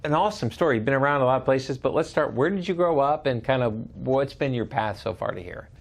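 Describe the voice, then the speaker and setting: A man's voice: medium pitch, lively intonation, quick pace, vocal fry. A man co-hosting a casual chat show, enthusiastic and stumbling over repeated words. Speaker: podcast co-host.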